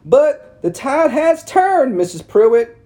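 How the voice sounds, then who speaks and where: A man voicing a stern, pushy middle-aged townswoman who lectures in a high falsetto. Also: big pitch swings, takes it slowly, dark tone, heavily acted. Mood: stern lecturing.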